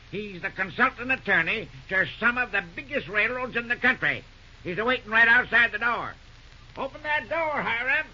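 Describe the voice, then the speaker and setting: A piercing, high-voiced country fellow holds forth with stubborn, plain-spoken rural American bluntness. It sounds like vintage radio drama, with a dull, narrow recording. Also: male voice, sharp tone, heavily acted. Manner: folksy backcountry drawl, blunt. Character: feisty folksy rural male stockholder, stubborn and outspoken. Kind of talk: old-time radio drama acting.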